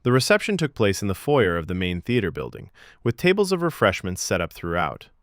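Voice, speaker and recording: A man narrating a scripted story in a measured, expressive audiobook style. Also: deep voice, no echo, studio quality. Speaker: fiction podcast narrator.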